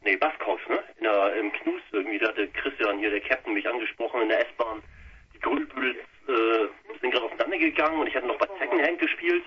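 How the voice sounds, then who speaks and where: A man from Lower Saxony, a band guitarist giving a rambling, colloquial interview by phone. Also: fast talker. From Lower Saxony, Germany. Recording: muffled, noisy telephone line on radio.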